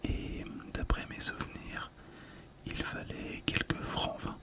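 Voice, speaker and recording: A middle-aged ASMR host talking through a collectible in a calm, gentle, hesitant way, close to the microphone. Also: measured pace. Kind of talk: whispered ASMR commentary.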